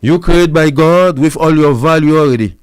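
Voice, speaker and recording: A man's voice: a little high, normal speed, smooth, no echo, poor audio. A man lecturing women on marriage as a pastor and counselor, repeating his points with emphasis. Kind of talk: preachy advice monologue.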